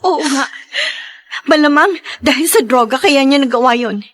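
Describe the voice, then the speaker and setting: An actress playing an outspoken, loyal young woman, the heroine's friend, in an expressive Filipino radio drama style. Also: high voice, moderate pace. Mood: indignant.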